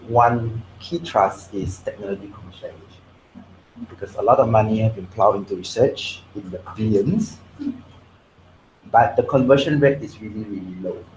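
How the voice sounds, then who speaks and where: A hoarse man with a Malaysian English accent speaks in a fairly low voice, presenting to a workshop and tossing questions at the room. The sound bounces around a large echoey space with a faint hum behind. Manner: conversational, quizzes the audience. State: losing his voice. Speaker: commercialization specialist.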